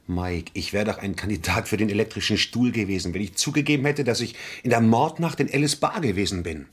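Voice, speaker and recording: Male role, old crime radio play: cornered suspect, low rough-edged voice, evasive, pleading, halting. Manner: defensive, hesitant, pleading. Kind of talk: vintage German crime radio play acting.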